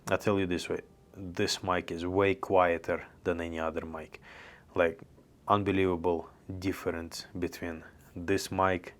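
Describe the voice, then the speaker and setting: A young man with a very low, deep voice talks in an even, barely rising tone. His delivery is loose and chatty, full of restarts and plain phrasing, like an unscripted gear review vlog. He is recorded up close on a handheld reporter mic in a small office room. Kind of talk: casual product review vlog. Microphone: handheld reporter mic and lavalier, on-camera framing.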